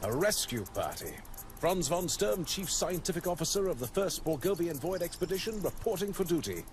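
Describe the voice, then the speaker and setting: An actor playing a pompous, eager scientist and expedition officer in a video game, speaking formally and theatrically. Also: male voice, fairly high pitch, very animated, moderate pace, a little hiss or hum. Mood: proud, eager.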